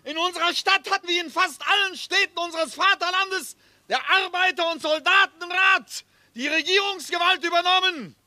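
A man's voice: very high voice, normal speed, sharp tone, dry recording, heavily acted. An actor playing a revolutionary man giving a rousing speech to soldiers. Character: male revolutionary haranguing troops. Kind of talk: radio drama acting.

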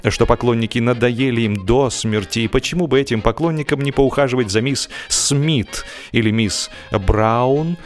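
Man, mid-range voice, literary audiobook narration, wry, mock-solemn, expressive, drawn-out phrase endings, studio reading with music. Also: medium pitch. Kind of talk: dramatized comic literary narration.

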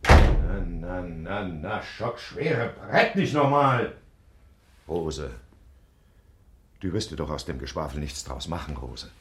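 Deep, blustering gentleman on noisy recording. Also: sing-song, slow, heavily acted. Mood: soothing bluster. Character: impatient married gentleman lieutenant pursuing a young woman. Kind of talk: naturalistic radio play acting.

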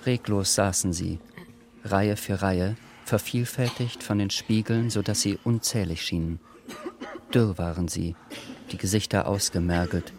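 A man narrating a literary radio drama in a measured, sober scripted style. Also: deep voice, fairly even intonation, a little mellow.

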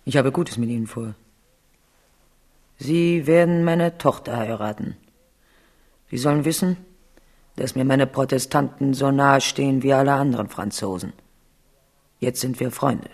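A scheming king of France in a radio play. He takes his time over every phrase, commanding and emphatic, at a middling pitch. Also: measured pace, pauses often. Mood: confiding, gracious. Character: scheming French king confiding in a young prince. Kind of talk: German radio drama acting.

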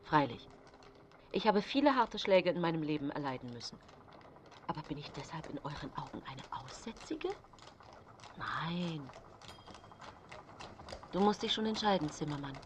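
A woman's voice: sits low, expressive, normal speed, pauses often, background noise. An actress playing a proud, destitute woman of the early nineteenth century in a German radio play. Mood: indignant.

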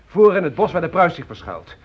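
Distraught young soldier, higher-pitched voice. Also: male voice, very animated, a little fast, slightly rough. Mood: agitated, insistent. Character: distraught, despairing young French soldier, pleading and fatalistic. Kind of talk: Dutch radio drama acting. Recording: dull, hissy old radio play recording.

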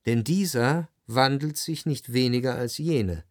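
An actor playing a reflective literary narrator in a radio drama, speaking in a measured, contemplative way. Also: male voice, mid-range voice, no room sound, studio quality, close to an everyday voice. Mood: analytical. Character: reflective, analytical literary narrator of Parisian society.

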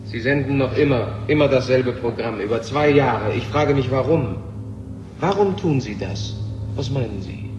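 A man's voice: medium pitch, expressive, moderate pace, a little mellow, muffled recording, faint music behind, lightly acted. An actor playing a brooding, intense male physicist in a German radio drama from 1970. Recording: echoey hall, noisy old radio recording.

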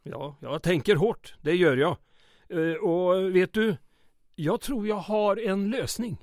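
A man acts out an anxious small-town mayor in an old-style radio play. His voice sits high for a man and is recorded close and dry. He speaks earnestly and deferentially, persuading with florid, theatrical turns of phrase. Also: darkish tone, no room sound. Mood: flattering, careful. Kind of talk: old-time radio comedy drama acting.

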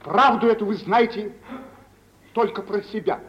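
An actor playing a vain young bridegroom with wounded pride, declamatory and self-pitying, in 1940s radio drama style. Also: male voice, sits high, a little slow, a little hiss or hum. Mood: bitter, self-pitying. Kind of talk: 1940s theatrical radio acting. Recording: distant, muffled old radio play recording.

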